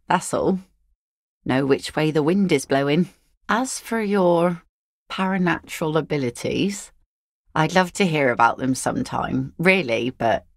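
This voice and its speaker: A woman voicing an easygoing, philosophical seafaring mother in a video game, with a wry, gently teasing, folksy manner. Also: low-pitched, creaky, no room sound, clean studio sound, exaggerated voice. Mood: relaxed, amused. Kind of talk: naturalistic video game dialogue acting.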